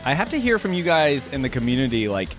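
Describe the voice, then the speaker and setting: A man hosts a reaction video in a casual, informal way. He thinks aloud as he comments, in a mid-pitched voice. Music plays behind him. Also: very animated, fast. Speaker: host of a reaction channel. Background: music from the video being watched.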